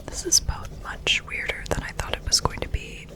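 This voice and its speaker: A woman doing a soft-spoken ASMR roleplay as a gentle, curious sci-fi medic, soothing and close to the microphone, leaving long pauses. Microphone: close-up sensitive ASMR microphone.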